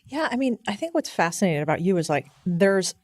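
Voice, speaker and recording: A woman hosting an interview podcast, asking quick, enthusiastic questions peppered with "like". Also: medium pitch, sing-song, darkish tone, dry recording. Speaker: podcast host. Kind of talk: casual interview conversation.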